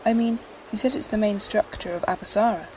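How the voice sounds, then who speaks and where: A woman's voice: medium pitch, steady tone, brisk, a little mellow, lightly acted. A woman playing a polite, curious young systems geek with a precise, eager manner in an audio drama. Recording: noisy background.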